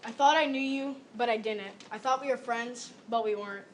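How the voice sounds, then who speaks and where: A woman's voice: a little high, normal speed. A young teenage girl performing a scripted readers theater recitation as a student. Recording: echoey room, distant mic, background noise.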